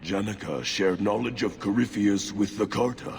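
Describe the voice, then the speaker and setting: An actor playing a grim, fanatical cultist thug in a fantasy game, gravelly and menacing, declaiming his lines. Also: lowish voice, normal speed, a little mellow, heavily acted. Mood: grim menace. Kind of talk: dramatic fantasy game acting. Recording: long reverb, noisy ambience.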